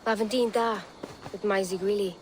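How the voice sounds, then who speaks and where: Celtic village woman, medium register, game dialogue performed by an actress, clear ambient noise. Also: mid-range voice, steady tone, moderate pace, natural voice. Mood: friendly. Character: Celtic village woman, a rune-selling bride-to-be. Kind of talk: video game dialogue acting.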